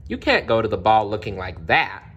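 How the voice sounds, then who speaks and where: A man voicing a kindly, absent-minded little fairy godmother in a storybook, gentle and encouraging. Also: lowish voice, sing-song, a little fast, husky, highs cut off, exaggerated voice. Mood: soothing, scatterbrained.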